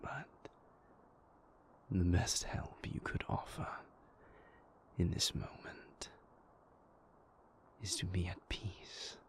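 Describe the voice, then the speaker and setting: Man as a low, whispering, brooding vampire. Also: lively intonation, vocal fry, exaggerated voice. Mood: dour, tender. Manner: formal, measured, dour phrasing. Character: moody, brooding male vampire lover, possessive yet tender. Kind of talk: intimate whispered roleplay audio drama.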